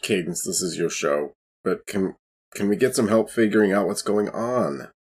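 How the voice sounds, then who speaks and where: Male tabletop player with a deep, husky voice, casual conversational delivery. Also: moderate pace, darkish tone. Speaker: player in a tabletop roleplaying game. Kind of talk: casual game chat.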